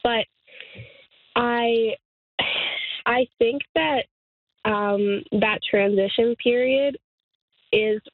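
A woman of twenty talking earnestly in a radio interview, halting and restarting, with light laughs. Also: a little high, dry recording. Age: 20s. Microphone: phone. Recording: tinny phone line, dry.

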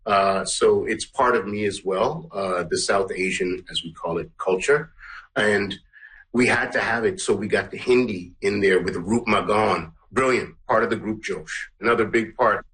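Low-voiced middle-aged man being interviewed. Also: normal speed, a bit bright, low-quality recording. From Canada, Guyana-born. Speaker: musician and song producer, interview guest. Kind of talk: conversational interview answers.

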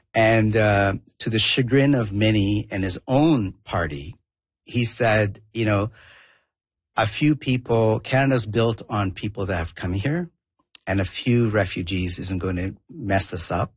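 Interview guest: man from southern India, Tamil first language, husky low voice, reflective and meandering, dry close sound. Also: unhurried, dry recording. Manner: reflective, rambling with restarts. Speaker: documentary filmmaker guest. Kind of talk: conversational interview.

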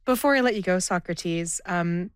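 A woman interviewing a guest, asking clear and friendly questions. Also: lowish voice, normal speed, no echo, studio quality.

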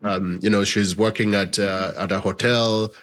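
A man's voice: on the low side, steady tone, normal speed, fairly bright tone. A man from Uganda, a documentary filmmaker, giving thoughtful interview answers and often checking in with "right?".

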